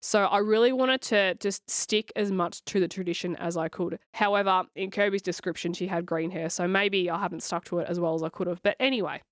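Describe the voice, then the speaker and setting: A woman, her voice hoarse and scratchy from a cold, guides viewers through a coloring tutorial. She talks in a chatty, reassuring way, and the sound is dry and close with no room echo. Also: lowish voice, steady tone, brisk, few pauses. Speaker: coloring channel host.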